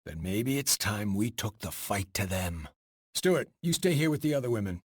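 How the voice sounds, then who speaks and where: An actor playing a bold, gruff colonial mercenary commander in a video game, commanding and dryly sardonic. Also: male voice, fairly low pitch, sing-song, moderate pace, no echo, clean studio sound. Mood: dry, confident. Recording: orchestral music behind the voice.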